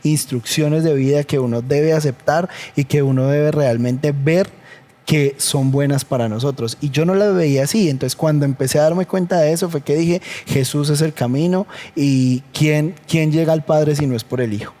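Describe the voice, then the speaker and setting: Earnest Colombian man with a mellow voice. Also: a little high. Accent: Colombian Spanish. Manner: earnest, measured and reflective. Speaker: church member guest. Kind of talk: personal testimony in conversation.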